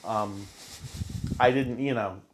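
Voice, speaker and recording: A man's voice: lowish voice, slow, low-quality recording. A man in a casual conversation, telling stories as an interview guest and acting out the remarks he quotes. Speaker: interview guest, long-time idol fan. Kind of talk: casual chat, storytelling.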